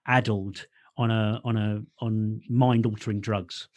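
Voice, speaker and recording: A man's voice: fairly low pitch, level tone, unhurried, darkish tone. A middle-aged man hosting a casual interview as a fellow writer, informal and blunt, with a self-deprecating streak. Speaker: podcast host, writer.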